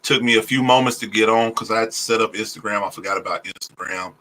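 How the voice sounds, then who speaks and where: Pastor guy doing a tax livestream on his phone, kinda low but bright voice, slows down careful, lots of uhs. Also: rough recording. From Oklahoma, US. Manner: speaks deliberately slowly to avoid stuttering, many "uh" fillers. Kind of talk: casual livestream talk on taxes.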